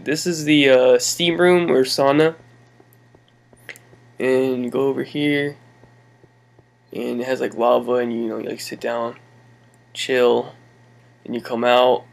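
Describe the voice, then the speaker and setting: A man's voice: mid-range voice, fairly even intonation, measured pace, vocal fry, long pauses, fairly bright tone. Laid-back young man touring his game world. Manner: rambling, laid-back, many fillers and laughs. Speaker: gaming channel host. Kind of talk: casual game walkthrough commentary.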